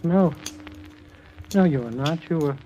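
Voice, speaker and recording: An actor playing a troubled, haunted psychiatric patient with halting, intense speech in an old radio drama. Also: male voice, fairly high pitch, dark tone, lightly acted, poor audio. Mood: agitated, remorseful. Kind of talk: 1950s radio drama acting. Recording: old radio recording, faint music behind.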